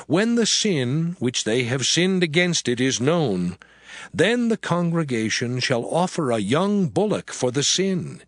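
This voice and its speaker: Solemn male scripture narrator. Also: mid-range voice. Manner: solemn, measured recitation. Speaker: scripture reader. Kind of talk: dramatized scripture reading. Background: music bed.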